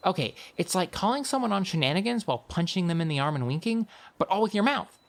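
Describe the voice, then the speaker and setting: A quirky young girl traveler , played by a woman reading a story, full of imagination and dry wit. Her banter is playful and inventive. The pitch moves around freely, with some fry underneath. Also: lively intonation, quick pace. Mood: playfully defiant.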